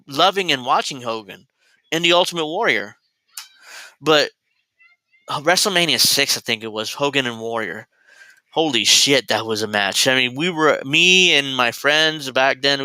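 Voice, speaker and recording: Bright-voiced, rambling man in his thirties. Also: medium pitch, clean tone, no room sound, poor audio. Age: 30s. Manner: rambling and digressive, with frequent restarts. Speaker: host. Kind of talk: casual commentary show.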